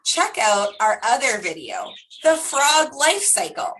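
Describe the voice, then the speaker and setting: A woman with a high, very lively voice hosts storytime for young children. She takes her time and stretches key words, using simple, animated phrasing. Her tone is bright throughout. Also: poor audio. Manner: animated, simple child-directed delivery with drawn-out emphasis. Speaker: children's storytime host and teacher.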